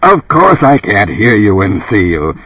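A man voicing a brash older tycoon turned television pitchman in an audiobook, gravelly and emphatic, with a salesman's delivery. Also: very animated, a little slow, no echo, highs cut off, full character voice. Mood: impatient, brash. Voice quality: gravelly, dark, resonant. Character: dead older tycoon as booming, blunt TV pitchman.

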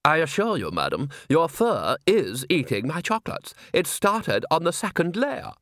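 A man's voice: on the high side, huge pitch swings, moderate pace, a bit bright, dry recording, clean studio sound, pitched above the performer's own voice. Guy doing a stuffy prim gent complaining politely. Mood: aggrieved. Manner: prim, aggrieved.

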